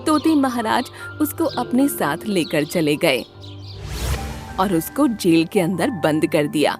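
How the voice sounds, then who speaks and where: A woman narrating a scripted children's story as an expressive storyteller. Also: on the low side, takes it slowly, over music.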